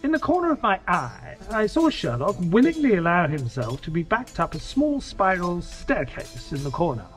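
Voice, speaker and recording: Earnest sidekick narrator in an audio drama, highish male voice, really lively, music underneath. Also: normal speed, few pauses. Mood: tense. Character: earnest male detective's companion recounting an adventure. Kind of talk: dramatic scripted first-person narration.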